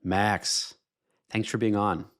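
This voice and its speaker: Male podcast host with a lowish voice and a measured, polished read. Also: little pitch movement, a bit bright, clear, resonant tone. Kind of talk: scripted intro then interview questions.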